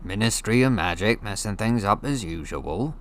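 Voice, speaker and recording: A man reading an audiobook, voicing a gruff, hearty, friendly giant with rough, folksy, blustery speech. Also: on the low side, expressive, normal speed, lightly acted. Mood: grumbling, blustery. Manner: folksy, blustery, dropped grammar. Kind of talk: audiobook character voice.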